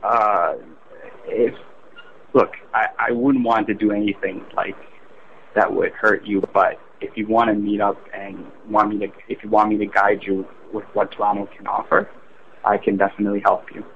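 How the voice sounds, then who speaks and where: A man in his twenties chatting on the telephone, halting and questioning, often repeating himself. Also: fairly low pitch, flat delivery. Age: 20s. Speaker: prank call target. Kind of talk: casual phone chat. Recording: noisy, tinny phone line.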